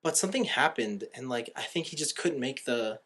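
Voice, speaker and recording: A man's voice: medium pitch, expressive, a little fast, a bit bright. A man hosting a casual movie review podcast, giving opinions and often rewording himself as he goes. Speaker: podcast host.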